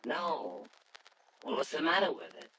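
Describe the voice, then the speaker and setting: A man acting an excitable, doting, nervous new father in a 1940s-style radio drama, boyish and blustering. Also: fairly high pitch, measured pace. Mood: panicky. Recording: noisy old radio drama recording.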